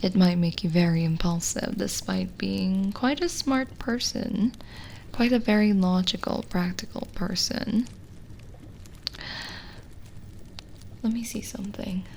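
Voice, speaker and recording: A woman playing a lonely, gentle witch in an ASMR roleplay, speaking softly and soothingly, often slipping into a whisper. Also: medium pitch, creaky, slight background noise. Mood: thoughtful, curious. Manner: slow, hushed, soothing, with pauses. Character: lonely, gentle witch reading a guest's palm. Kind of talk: soft-spoken ASMR roleplay, partly whispered.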